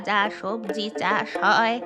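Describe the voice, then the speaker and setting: A woman playing an old rustic grandmother, earnest, repentant, generous, cartoon dialogue over loud music. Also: mid-range voice, steady tone, slow pace, natural voice. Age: older. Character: elderly village grandmother, once stingy, now repentant and generous. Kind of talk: children's cartoon character acting.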